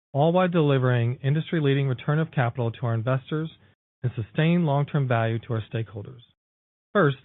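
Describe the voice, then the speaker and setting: A man's voice: mid-range voice, dry recording. Man reading formal remarks on phone line. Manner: formal, reading prepared text. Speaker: company executive. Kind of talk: scripted earnings call remarks.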